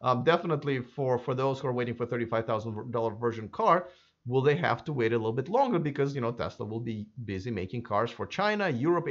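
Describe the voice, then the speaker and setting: A hoarse man hosting his own channel, chatting casually and speculating aloud, with many fillers and restarts. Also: medium pitch, dull audio. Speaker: YouTube electric car channel host. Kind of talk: casual solo commentary to camera.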